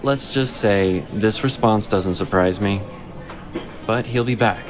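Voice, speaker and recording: An actor playing a shrewd, composed male inquisitor in a fantasy audio drama, speaking in a measured, persuasive way. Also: on the low side, music in the background. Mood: shrewd, probing.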